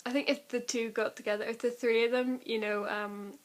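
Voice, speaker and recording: A woman's voice: on the high side, little pitch movement, fairly quick. A young woman chatting casually and ramblingly to camera as an amateur vlogger, with a giggly, self-deprecating, informal manner.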